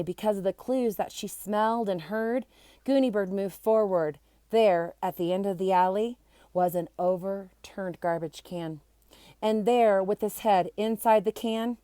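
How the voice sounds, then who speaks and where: A woman reads a children's chapter book aloud at a medium pitch. Her delivery is expressive and animated, made for young listeners, and the sound is dry with almost no room echo. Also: measured pace, smooth. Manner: animated, acts out character voices. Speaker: storybook reader.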